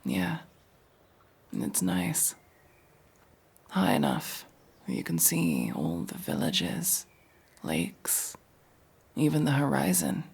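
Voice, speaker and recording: Man playing a gravelly, guarded immortal elf. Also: on the high side, huge pitch swings, darkish tone, full character voice. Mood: brooding, wistful. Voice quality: breathy, gravelly. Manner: brooding, halting, often dropping to a whisper. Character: guarded, world-weary five-hundred-year-old elf mercenary, young-looking. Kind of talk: ASMR fantasy roleplay, intimate dramatic acting.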